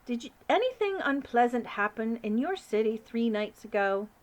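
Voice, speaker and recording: A woman putting on a high, imperious queen. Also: very animated, normal speed. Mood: commanding. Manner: crisp, imperious. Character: commanding, brisk woman monarch.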